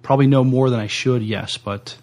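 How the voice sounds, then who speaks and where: A man's voice: medium pitch, steady tone, fairly quick, a little mellow. A man co-hosting a podcast in casual chat, speaking hesitantly and often repeating words. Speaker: podcast co-host.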